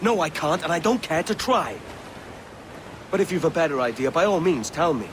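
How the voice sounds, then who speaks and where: An actor playing a brash, greedy, roguish pirate captain in a video game, with a blunt, cocky manner. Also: male voice, a little high, animated, normal speed, background noise, natural voice. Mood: irritated, defiant. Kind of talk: video game cutscene acting.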